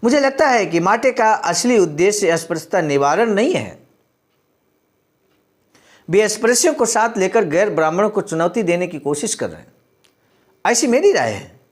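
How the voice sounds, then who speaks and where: A man with a distinctly high-pitched voice reads and comments as a speaker would. His delivery is earnest and exhortative, moving between recited text and explanation aimed straight at the listener. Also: very animated, normal speed, pauses often. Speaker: speaker reading and commenting on a historical speech. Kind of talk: read-aloud speech with earnest explanatory commentary.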